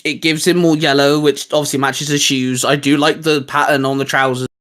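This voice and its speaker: A man's voice: a little high, flat delivery, a little fast, a bit bright. A man co-hosting a casual geek chat, talking in a hesitant, rambling, informal way with bits of slang. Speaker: co-host of a geek culture show. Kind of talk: casual chat, reviewing video game designs.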